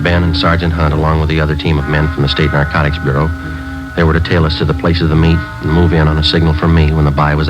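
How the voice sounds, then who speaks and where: An actor playing a tough undercover cop posing as a wary drug buyer, terse and clipped, in a 1950s radio crime drama. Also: male voice, very deep voice, muffled recording. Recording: old 1950s radio broadcast recording.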